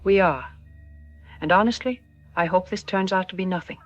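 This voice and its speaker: A woman playing a thoughtful, cautious science expert, measured and candid in an audio drama interview. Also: fairly low pitch, close to an everyday voice. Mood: cautious, concerned. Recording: music bed under the voice.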